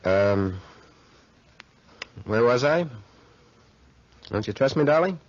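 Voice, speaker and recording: An actor in a 1940s radio drama playing a brash, smooth-talking young schemer with slangy, cocky tough-guy talk. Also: mid-range voice, lively intonation, slow pace. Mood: coaxing. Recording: muffled 1940s radio recording.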